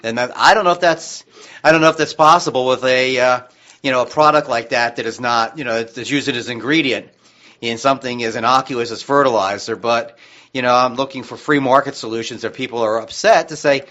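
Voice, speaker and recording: A man's voice: medium pitch, sharp tone. Man, American accent, radio co-host, casual, rambling, frequent restarts, muffled lo-fi sound. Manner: halting and rambling, often restarting mid-sentence. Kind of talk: casual discussion on a radio show.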